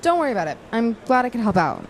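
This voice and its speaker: A woman playing a supportive student journalist, gentle and lightly sarcastic, in naturalistic audio drama. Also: a little high, very animated, fast, a little hiss or hum. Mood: friendly, curious. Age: young adult.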